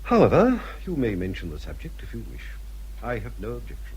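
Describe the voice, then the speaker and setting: An actor playing a vain, snobbish middle-aged English baronet in a radio drama, pompous and fussy. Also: male voice, lowish voice, wildly swooping pitch, fairly quick, a little mellow, slightly rough, lo-fi sound, full character voice. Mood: condescending.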